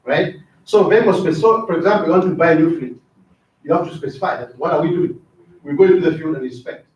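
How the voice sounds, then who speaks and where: A middle-aged man teaching a training class. His voice sits a bit high for a man, and he keeps asking the class questions and tagging "right?" onto his points. He sounds far from the mic in a very echoey room, and the recording is dull. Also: fast, a little mellow. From Zambia. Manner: asks the class questions, repeats words, drops in "right?" often. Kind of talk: classroom lecture.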